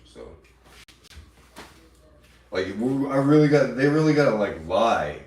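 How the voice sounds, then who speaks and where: A man livestreaming an angry, profane rant that taunts his critics, now and then mimicking them in a shrill mocking voice. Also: sing-song, unhurried, darkish tone. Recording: echoey room, voice from far off the mic.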